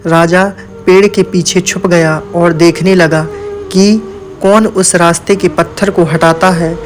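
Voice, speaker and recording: A man's voice: sits high, steady tone, unhurried. A man narrating a scripted moral tale as a storyteller.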